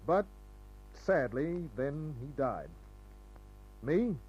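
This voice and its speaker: An actor playing a wry, cool-headed private detective in an old radio noir, narrating and talking in terse, clipped phrases. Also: male voice, on the high side, big pitch swings, very slow, a little mellow, breathy edge, exaggerated voice. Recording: muffled old radio recording.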